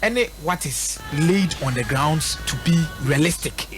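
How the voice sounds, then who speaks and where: A man's voice: fairly high pitch, lively intonation, unhurried, over music. A man with a Ghanaian accent giving emphatic football analysis on live radio, repeating his points rhetorically for effect. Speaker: radio sports pundit. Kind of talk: live football commentary and analysis, mixing local language and English.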